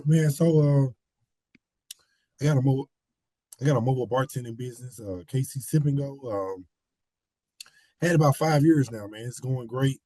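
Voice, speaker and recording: A man talks basketball in loose, animated banter, his voice a little hoarse from a cold. He keeps circling back to the same phrases. The sound is dry and close. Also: medium pitch, normal speed, long pauses, dry recording. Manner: animated, repeats phrases, slangy. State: hoarse, getting over a cold. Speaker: podcast guest. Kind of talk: casual sports chat.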